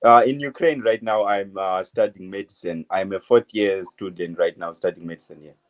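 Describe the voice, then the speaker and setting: Hesitant young man from Zimbabwe. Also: low voice. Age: 20s. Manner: hesitant, many uh fillers, halting phrases. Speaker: interviewee, medical student. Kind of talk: phone interview.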